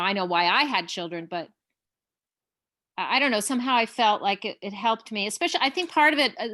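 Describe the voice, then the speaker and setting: Bright-voiced chatty woman playwright. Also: mid-range voice, no room sound, clean studio sound. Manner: chatty, halting, laughs often. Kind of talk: casual conversation.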